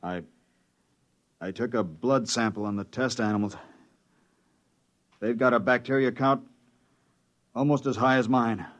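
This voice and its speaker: A man's voice: medium pitch, steady tone, measured pace, pauses often, close to an everyday voice. An actor playing an anxious, downbeat male crew scientist in 1950s radio drama style. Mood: grim. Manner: gloomy and worried. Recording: muffled 1950s radio broadcast.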